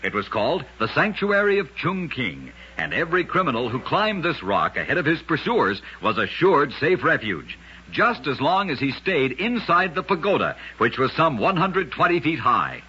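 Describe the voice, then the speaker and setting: A man narrating scripted oddities as an old-time radio host, with a dramatic, emphatic delivery and deliberate pauses. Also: a little high, big pitch swings, pushed and bright, slightly rough. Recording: dull, lo-fi old radio recording.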